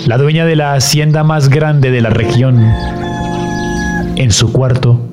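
Male radio-drama narrator over loud music. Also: mid-range voice, unhurried.

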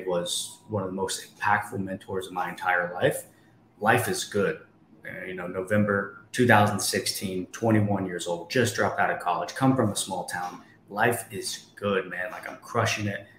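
Young man, very low voice, emphatic motivational storyteller, roomy echo, faint hiss. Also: fairly bright tone. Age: 20s. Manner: emphatic, motivational, asks rhetorical questions. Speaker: guest, real estate investor. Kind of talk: storytelling in a podcast interview. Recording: echoey room, faint background noise.

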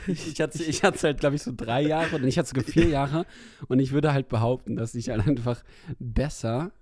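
A man's voice: mid-range voice, fairly even intonation, moderate pace, a little mellow, no room sound. A young man co-hosting a casual podcast, rambling with lots of fillers and frequent laughter. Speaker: podcast co-host. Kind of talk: casual chat. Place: in Paris, remote from co-host.

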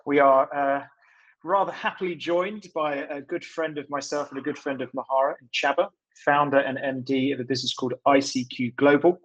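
A man hosting a conversational podcast interview, speaking in wordy, halting phrases with repeated words. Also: mid-range voice, fairly even intonation, poor audio. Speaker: podcast host.

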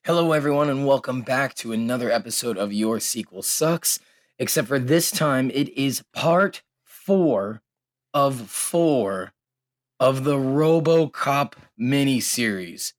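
A man hosting a podcast in casual, profane banter, his voice hoarse and a little raspy, often laughing. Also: mid-range voice, lively intonation, measured pace, no room sound, clean studio sound. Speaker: podcast host.

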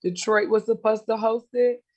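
A woman small business owner answering interview questions over a video call, friendly and upbeat, her voice a little raspy. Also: fairly high pitch, steady tone, moderate pace, darkish tone, dull audio. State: slightly raspy voice.

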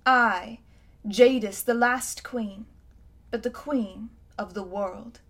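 Woman doing storybook tyrant queen, imperious, loud, haughty, a little higher. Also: takes it slowly, slightly rough, exaggerated voice. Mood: bitter. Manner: haughty, commanding, grand.

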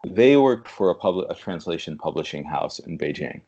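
Very deep-voiced man, measured and halting. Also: animated, darkish tone, muffled recording. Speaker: podcast guest, literary translator. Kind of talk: conversational interview.

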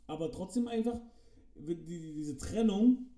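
A young man co-hosting a casual podcast chat, talking in slangy, relaxed street speech and joking around. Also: high voice, normal speed, far from the mic. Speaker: podcast co-host. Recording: echoey room, set back from the mic.

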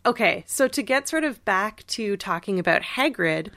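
A woman's voice: mid-range voice, lively intonation, moderate pace, a bit bright, no echo. Woman co-hosting, dry close sound. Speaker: podcast co-host. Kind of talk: casual conversational discussion.

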